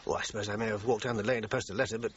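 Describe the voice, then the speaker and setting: A man's voice: fairly low pitch, fairly even intonation, very fast, slightly rough, highs cut off. An actor with a British accent playing a defensive, uneasy male suspect in a radio crime drama, speaking in a faltering, evasive way. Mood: hesitant, guarded.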